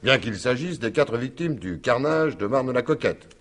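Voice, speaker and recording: An actor playing a wry, probing police commissioner in a French radio crime drama, theatrical and insistent, repeating words for effect. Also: male voice, medium pitch, animated, moderate pace, natural voice. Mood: soothing, probing.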